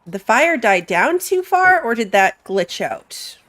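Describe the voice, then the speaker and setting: A woman's voice: fairly high pitch, big pitch swings, takes it slowly, a bit bright. A woman giving casual live commentary as she plays a game, chatting and thinking aloud. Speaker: game streamer.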